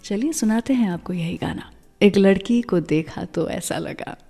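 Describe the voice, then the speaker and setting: A woman's voice: mid-range voice, a little slow, vocal fry, warm tone, husky, in a large hall. An Indian woman presenting a music radio show in a conversational, chatty storytelling way, slipping between Hindi and English phrases.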